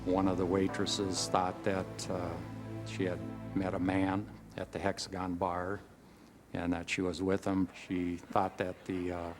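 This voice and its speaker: A man's voice: deep voice, completely flat delivery, a little slow, over music. A man with an American accent, a police detective recalling a case in an interview. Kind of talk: interview recollection.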